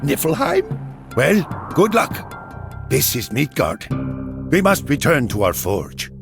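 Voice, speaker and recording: A man voicing an excitable little dwarf smith in a video game cutscene, exclaiming and pleading. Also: fairly high pitch, takes it slowly, vocal fry, over music, heavily acted. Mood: gruff, resigned.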